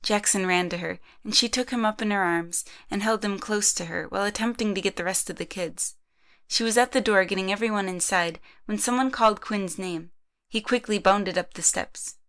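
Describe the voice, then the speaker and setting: A woman's voice: mid-range voice, normal speed, fairly bright tone. Woman narrating a story.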